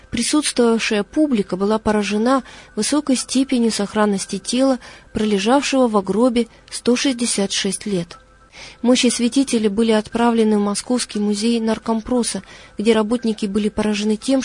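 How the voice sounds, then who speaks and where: A woman with a fairly high voice reads an account of saints and church history. She keeps a measured, solemn pace, as in a sermon to the faithful. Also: a little mellow, husky. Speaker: church speaker. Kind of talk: calm read church history narration.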